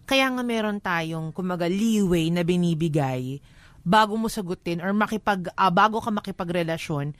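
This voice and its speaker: A woman's voice: on the low side, normal speed, dry recording. Frank Filipino woman giving advice, close dry sound. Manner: frank, emphatic, code-switches into English. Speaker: radio advice show co-host. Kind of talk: conversational relationship advice.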